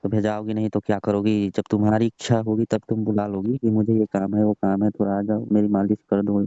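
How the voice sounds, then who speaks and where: A man's voice: on the low side, completely flat delivery, a little fast, dark tone, no echo, close to an everyday voice. A man playing a jealous young lover in a phone call drama, sulky, curt and full of complaints. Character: sulking, jealous young lover of a married woman. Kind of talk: scripted prank-style phone call drama in colloquial Hindi. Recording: dull lo-fi phone call recording.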